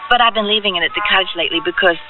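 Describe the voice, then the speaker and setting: A woman's voice: mid-range voice, rapid-fire, narrow, tinny audio, natural voice. An actress playing a naive, hesitant young woman in a radio drama, demure and plain-spoken. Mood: shy, playful. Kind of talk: radio drama acting with first-person narration. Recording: thin band-limited radio recording, loud music.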